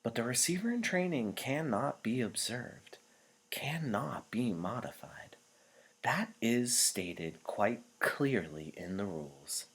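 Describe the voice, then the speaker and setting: A gracious, commanding woman elder as played by a male narrator speaks to her community in a storybook reading. The voice is lifted in pitch with a slightly husky grain, formal and measured throughout. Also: lively intonation, a bit bright, heavily acted, low-quality recording. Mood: measured, explanatory. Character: gracious, commanding female chief elder addressing a crowd.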